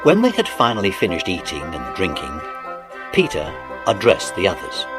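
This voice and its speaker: A man narrating a dramatised children's audiobook in an expressive, animated storytelling manner. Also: sits low, normal speed. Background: music.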